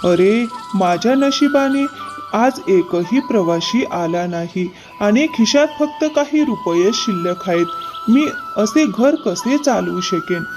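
A poor village cart driver and father, acted out by a woman, speaks humbly and earnestly in a cartoon moral tale. The pitch sits in a middle range, with music clearly playing underneath. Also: animated, takes it slowly. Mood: worried. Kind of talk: cartoon moral-story dialogue. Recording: music bed behind the voice. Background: background music.

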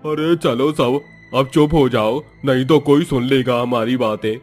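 A man's voice: medium pitch, steady tone, measured pace, darkish tone, soft background music, lightly acted. A man voicing a resentful, nervous, scheming village shopkeeper in a cartoon, complaining in exclamations. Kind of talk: Hindi cartoon story acting.

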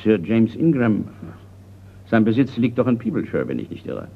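Retired colonel, older, gruff, easily agitated art collector, gravelly and very animated, radio play with dulled sound. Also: male voice, lowish voice, moderate pace, heavily acted. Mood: concerned. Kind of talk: German radio crime play acting. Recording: muffled, slightly lo-fi radio play recording.

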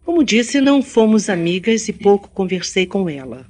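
A woman's voice: mid-range voice, very animated, moderate pace, a little mellow. Radio drama: an articulate young city woman, frank and kind, formal diction. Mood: reflective, earnest. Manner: formal, carefully enunciated speech. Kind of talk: Brazilian radio drama acting.

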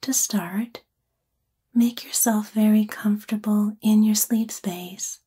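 Breathy, whispering woman guiding sleep meditation. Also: mid-range voice, fairly even intonation. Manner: gentle, soothing, unhurried phrasing. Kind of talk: guided sleep meditation, ASMR-like narration.

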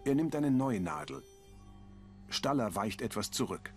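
A man reading a calm, matter-of-fact audio description of scenes for an accessible TV version. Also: mid-range voice, measured pace, creaky, soft background music.

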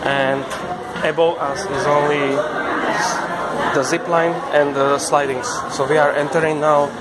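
A man giving a casual walking tour of a ship buffet, chatty and relaxed, filmed on a handheld camera mic. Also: on the high side, unhurried, rough recording. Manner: non-native phrasing, relaxed and chatty, frequent short laughs. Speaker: travel vlogger. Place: busy ship buffet restaurant, indoors. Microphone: handheld camera mic while walking. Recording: loud crowd chatter and clatter around.

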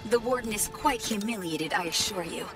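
A woman's voice: mid-range voice, normal speed, close to an everyday voice. An actress voicing a polite, fussy ancient female AI guide in a video game, speaking formally and encouragingly. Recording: game audio with loud music, distant.

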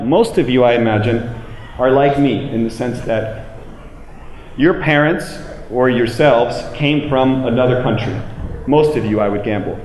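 An American man in his forties giving a public lecture in an emphatic, deliberate manner, repeating phrases for weight. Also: medium pitch, a little mellow. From United States. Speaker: public speaker. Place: indoor room with plain walls, speaking to an audience. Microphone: handheld microphone, later a small clip-on mic. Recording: roomy echo, clear background noise.

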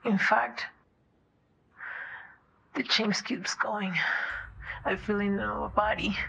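A young woman acting a scientist who is turning into a monster, reporting her symptoms in a halting, anxious way in a small room. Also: medium pitch, little pitch movement, vocal fry, fairly bright tone, husky, lo-fi sound. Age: young adult. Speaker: lead actress in a web series. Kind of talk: amateur web drama acting.

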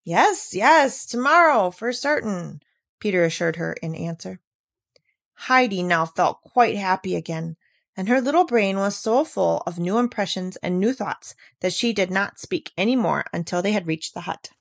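A woman reading a classic children's novel aloud in a steady, clear storytelling manner. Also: on the low side, normal speed, dry recording, studio quality. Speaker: reader. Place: at a table with a book.

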